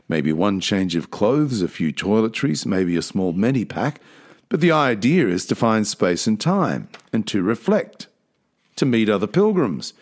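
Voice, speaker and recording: A man hosting a podcast, talking in a slow, thoughtful, reflective way. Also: medium pitch. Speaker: podcast host.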